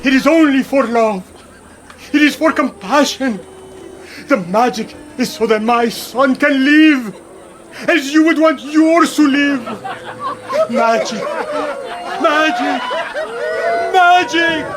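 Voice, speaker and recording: A man's voice: very high voice, fairly bright tone, a faint crowd, slight background noise, heavily acted. A man acting an old, desperate peasant father in a radio drama, pleading and chanting imploringly. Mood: tender pleading, then ecstatic. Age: older. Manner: imploring, slow, incantatory.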